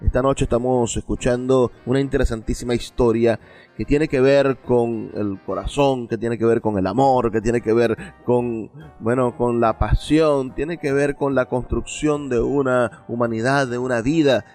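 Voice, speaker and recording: A man's voice: medium pitch, steady tone, normal speed, a little mellow. Venezuelan male radio host over music. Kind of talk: radio presenting, emphatic with repeated phrasing.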